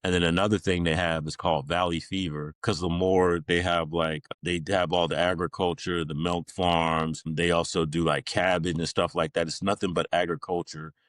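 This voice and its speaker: A man's voice: sits low, level tone, normal speed, few pauses, no echo, clean studio sound. A man, middle-aged, talking informally as an interview guest, rambling through stories in a casual conversational style. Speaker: podcast guest, Navy veteran.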